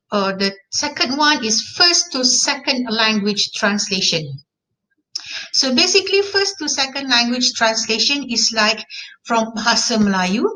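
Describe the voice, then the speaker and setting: A woman's voice: on the high side, bright, forceful tone, low-quality recording. A woman with a Malaysian accent, a native Malay speaker, giving an online class lecture and repeating key terms for emphasis.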